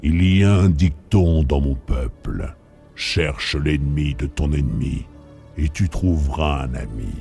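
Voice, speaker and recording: A man playing a blunt, menacing alien bounty hunter in a video game, with a husky, gravelly voice and a slow, threatening delivery. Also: a bass voice, warm tone, heavily acted. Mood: grave, measured. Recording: music behind the voice.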